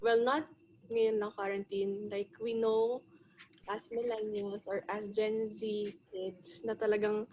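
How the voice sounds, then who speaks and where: A nineteen-year-old woman from the Philippines, a podcast guest chatting casually in lively, teasing English and Filipino. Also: mid-range voice, unhurried, highs cut off, slight background noise.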